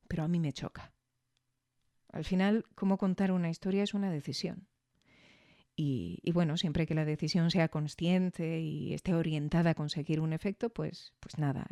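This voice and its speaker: A podcast host giving a reflective spoken analysis, measured and didactic, often checking in with questions. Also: medium pitch, mellow, no echo, studio quality.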